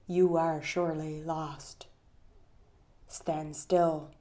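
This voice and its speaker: Soft mellow lady doing guided meditation, super slow n soothing, kinda low, breathy at phrase ends. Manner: soothing, unhurried, with long pauses. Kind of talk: guided meditation with poetry readings.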